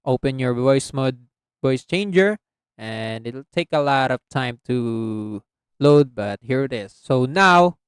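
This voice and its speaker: A man hosting a casual software tutorial as a voice-over. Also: medium pitch, animated, slow pace, clean tone, no room sound. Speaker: tutorial host. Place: close, dry indoor voice-over recording.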